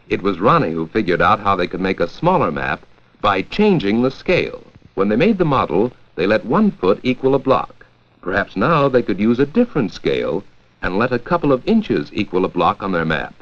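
An American man narrating a 1940s educational film from a script, with a clear, measured storytelling delivery. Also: fairly low pitch, big pitch swings, fairly bright tone. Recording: dull, lo-fi 1940s 16mm film soundtrack.

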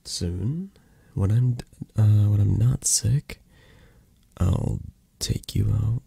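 A man playing a flirty, possessive, tender mafia boss in an intimate ASMR roleplay, hushed and halting, drifting into whispers. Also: fairly low pitch, creaky, breathy edge, no room sound, exaggerated voice. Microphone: close microphone, dry sound.

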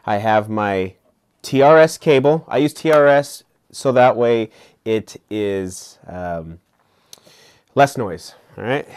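A young man giving an informal hands-on tutorial, explaining step by step and often checking in with the listener. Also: lowish voice. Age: young adult. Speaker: tutorial host and musician. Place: small home studio, indoors.

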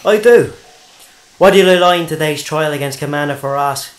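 A man playing a sharp, commanding intelligence agent in a science fiction audio drama, with clipped, probing speech. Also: fairly high pitch, normal speed, natural voice. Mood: stern, interrogating. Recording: clear background ambient noise.

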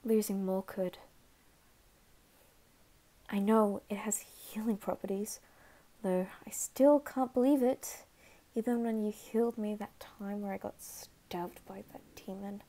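Woman as flustered teenage anime boy, guarded yet caring, unhurried, soft dark tone, stammering, ASMR roleplay. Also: medium pitch, steady tone, natural voice. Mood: concerned. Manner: halting, stammering, dropping into whispers.

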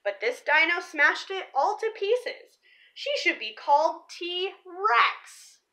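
Bright, high-pitched young woman presenting for children. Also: very animated, slow. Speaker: teacher reading a picture book aloud for children. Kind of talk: storybook read-aloud and presentation for children. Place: small living room or room at home, indoors.

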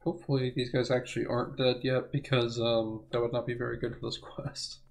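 A young man giving rambling, self-correcting let's play commentary, his voice hoarse as if he is losing it. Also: mid-range voice, little pitch movement, fairly quick. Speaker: gaming channel host.

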